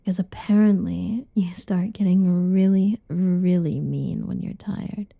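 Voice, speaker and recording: Young woman, soft teasing ASMR whisper, slow, gravelly fry, dry close mic. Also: fairly low pitch, fairly even intonation, no room sound. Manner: playful giggles between lines. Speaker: roleplay narrator. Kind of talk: ASMR roleplay.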